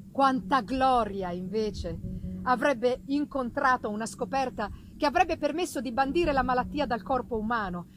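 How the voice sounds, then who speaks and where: A woman giving a dramatic, theatrical reading of literary narration, with deliberate phrasing and pauses. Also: high-pitched, a bit bright, low-quality recording. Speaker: reader of a literary audiobook. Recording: live reading with noticeable background noise.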